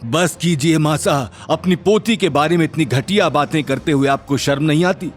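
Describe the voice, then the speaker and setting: A man's voice: a little high, moderate pace, soft background music, voice pushed up, lightly acted. A man voicing an aggrieved, dutiful middle-aged father in an audio drama. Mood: hurt anger.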